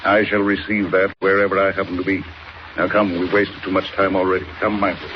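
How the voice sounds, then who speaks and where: An actor playing a cold, secretive professor villain in a 1940s radio serial, commanding, measured and menacing. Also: male voice, low voice, level tone, natural voice. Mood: curt, commanding. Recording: dull, noisy old radio recording.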